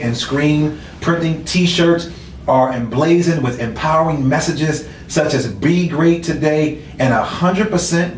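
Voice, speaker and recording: A man hosting a laid-back interview show with a slightly high voice and a teasing, slangy way of talking. He sits back from the mic in an echoey room, with a faint rumble underneath. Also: recorded from a distance. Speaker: talk show host.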